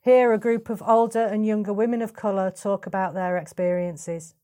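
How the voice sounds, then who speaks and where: A woman giving a measured, formal scripted narration as the podcast narrator. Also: mid-range voice, fairly even intonation, mellow.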